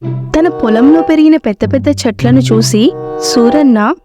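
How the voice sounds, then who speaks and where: High-pitched woman narrating a story. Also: unhurried. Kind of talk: scripted moral-story narration. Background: faint background music.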